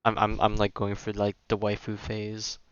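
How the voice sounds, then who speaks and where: A man's voice: lowish voice, monotone, moderate pace, smooth, lo-fi sound. A man giving casual live gameplay commentary as a streamer, slangy and rambling as he thinks aloud. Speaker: game streamer.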